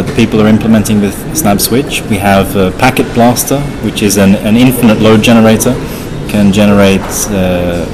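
A man's voice: lowish voice, background noise. A man being interviewed as a guest developer, explaining technical things in plain comparisons. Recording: chatter of a crowd behind. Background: busy conference crowd.

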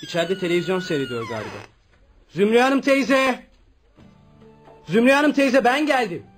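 An actor in a radio play playing a dutiful, excitable young student son who speaks earnestly. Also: male voice, very high voice, normal speed, faint music behind. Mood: puzzled, calling out. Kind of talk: Turkish radio theatre acting.

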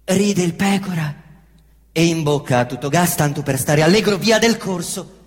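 An actor playing a cocky young male Roman slum youth and killer, with swaggering street slang and a Roman accent, swinging between defiant outbursts and low muttering. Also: normal speed, long reverb tail, close to an everyday voice. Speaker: stage actor in a monologue. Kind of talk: theatrical monologue. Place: theatre stage.

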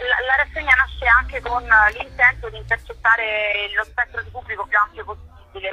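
Bright, husky woman on the phone. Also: a little high, no echo. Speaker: interviewed festival curator and performer. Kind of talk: live radio phone interview. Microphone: telephone. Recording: tinny roomless phone line.

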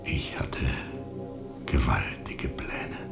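Man as a whispering, fevered, megalomaniac trader. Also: over loud music. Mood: dying, grandiose. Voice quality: whispery, breathy. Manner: slow, grandiose. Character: dying, fevered, megalomaniac male ivory trader.

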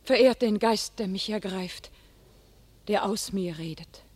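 A woman's voice: mid-range voice, a little slow, a little mellow, slightly rough. A woman playing a young female warrior prophet, declaiming solemn verse in a classic radio play, sometimes dropping to a whisper. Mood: pleading. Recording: radio drama with faint music.

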